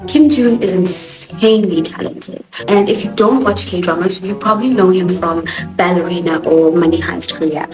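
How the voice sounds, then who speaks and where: A woman's voice: lowish voice, moderate pace. Sassy young woman with Joburg accent. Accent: South African (Johannesburg). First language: Swahili. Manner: expressive, sassy, animated emphasis. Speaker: TV show reviewer, host. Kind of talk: casual opinionated review. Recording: echoey room with music behind.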